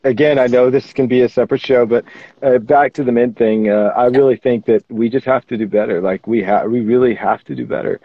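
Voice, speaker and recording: An earnest man in his thirties chats as a guest, chiming in with quick affirmations. The recording is dry, with no room sound, and has a dull, lo-fi quality. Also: mid-range voice, fairly even intonation, dry recording. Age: 30s. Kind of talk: casual chat.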